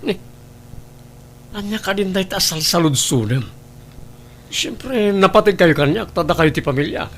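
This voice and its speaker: A man acts out an evasive husband in an Ilocano radio-style drama, with a slightly high voice and an Ilocano accent. He sounds like a cornered man guarding a secret, over faint noise. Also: animated, moderate pace, long pauses, a bit bright. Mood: defensive, irritated. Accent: Filipino, Ilocano. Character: evasive husband hiding an affair, defensive with his wife. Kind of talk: Ilocano audio drama acting.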